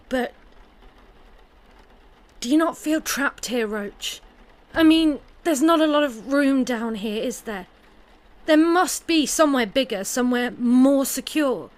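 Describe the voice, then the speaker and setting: An actress playing a worried young mother who speaks in an anxious, pleading, hushed way in an audio drama. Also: sits high.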